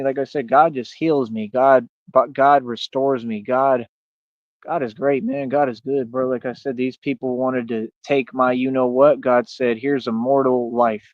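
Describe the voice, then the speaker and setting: A man's voice: medium pitch, no echo, highs cut off. A man in his thirties rambling casually on a livestream in a boastful, defiant way, with slangy talk full of "man" and "bro". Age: 30s. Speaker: streamer.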